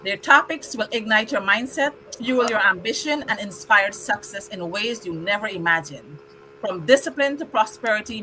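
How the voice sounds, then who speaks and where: A woman's voice: mid-range voice, bright, forceful tone, slight background noise, low-quality recording. A middle-aged woman from Guyana hosting a live online show, welcoming guests and reciting a rhyming poem in an upbeat, rhythmic way. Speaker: host.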